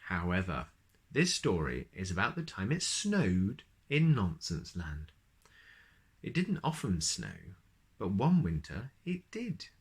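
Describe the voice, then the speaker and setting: Very animated male storybook reader, lowish voice. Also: slow pace. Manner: expressive, addresses young listeners directly. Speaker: storyteller, host. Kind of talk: children's storybook narration.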